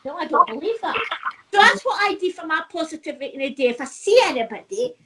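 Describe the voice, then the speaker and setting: A woman's voice: very high voice, big pitch swings, fast talker, a bit bright. A middle-aged woman, talking in a casual chat, chatty and laughing often, repeating herself. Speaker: co-host.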